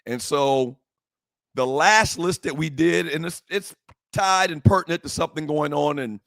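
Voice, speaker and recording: A man hosting a sports talk show, fervent and emphatic, repeating phrases and rising to shouts, his voice a little hoarse. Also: a little high, moderate pace, dry recording. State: slightly hoarse, voice worn from shouting. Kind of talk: impassioned sports talk monologue.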